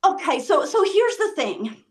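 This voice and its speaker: A shameless woman dealer fresh out of prison, as an actress reads her. The voice is very high-pitched. She talks in a glib, breezy way, as in a comic play reading. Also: very animated, a little slow, exaggerated voice. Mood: cocky. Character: brazen female ex-convict drug dealer, flippant and shameless. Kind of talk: script table read.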